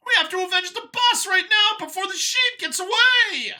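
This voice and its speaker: A man voicing an aggrieved, shouting alien henchman in an audio story. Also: very high voice, normal speed, voice pushed up, heavily acted. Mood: furious, rebellious. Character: furious shrieking alien henchman guard.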